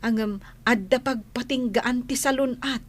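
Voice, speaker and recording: A woman with an Ilocano accent acting a gentle, worried nanny in a radio drama, pleading and deferential. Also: a little high, steady tone, moderate pace, dry recording, natural voice. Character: gentle, worried female nanny to a sickly young master. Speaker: household caregiver in a radio drama.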